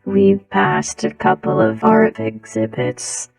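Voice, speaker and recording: A woman's voice: mid-range voice, expressive, gravelly, a little mellow, distant mic, soft background music, low-quality recording. A woman telling a casual, humorous personal story, her voice run through a voice changer. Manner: slow, giggly delivery through a voice changer. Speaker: storyteller, content creator.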